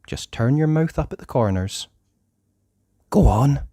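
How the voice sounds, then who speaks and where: A storyteller reading a children's book aloud in a theatrical, deliberate way with drawn-out emphasis. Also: mid-range voice, lively intonation, warm tone, no echo, studio quality. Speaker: storybook narrator. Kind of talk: expressive children's read-aloud narration.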